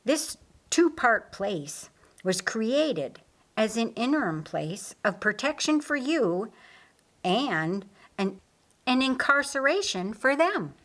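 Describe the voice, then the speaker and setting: A woman's voice: fairly high pitch, big pitch swings, takes it slowly, fairly bright tone, exaggerated voice. A woman voicing an authoritative, loyal male archangel in an audiobook, explaining firmly and earnestly. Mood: firm, explanatory. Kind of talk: audiobook character acting.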